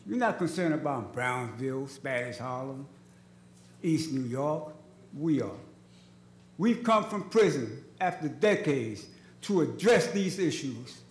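An older man speaks as a community organizer at a public hearing. His voice sits a little high for a man, and he builds his impassioned case in short, emphatic, repeated phrases. The chamber rings with echo around the table microphone. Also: animated, measured pace, slight background noise. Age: 60s. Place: large indoor legislative hearing room, seated at a table. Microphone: gooseneck table microphone.

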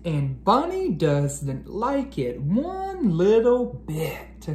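American man, thirties, children's storyteller, unhurried, deliberate diction, echoey living room, background noise. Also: high-pitched, wildly swooping pitch, darkish tone. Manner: deliberate, carefully enunciated. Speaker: educator reading aloud to children. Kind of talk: children's read-aloud, expressive storytelling. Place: living room at home, indoors. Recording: roomy echo, clear background noise.

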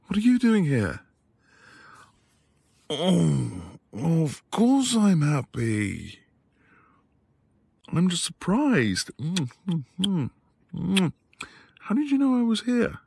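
Quiet, devoted academic husband male performer for boyfriend audio drama; husky, expressive delivery, hesitant and tender. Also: high-pitched, takes it slowly, long pauses, full character voice. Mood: surprised, apologetic. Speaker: audio roleplay voice actor. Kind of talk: intimate boyfriend ASMR roleplay acting.

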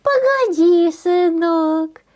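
A woman voicing a kindly, calm old village granny in a folk tale, with soothing, singsong speech. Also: very high voice, drawn-out words, pitched above the performer's own voice, full character voice.

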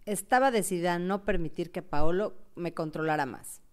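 A woman playing a heartbroken, anxious young woman who tells her own story in a radio novel, in a clear, smooth voice. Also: lowish voice, normal speed, lightly acted. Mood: resolute, relieved. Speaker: narrator and actress in an audio novel. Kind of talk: dramatized first-person radio novel narration.

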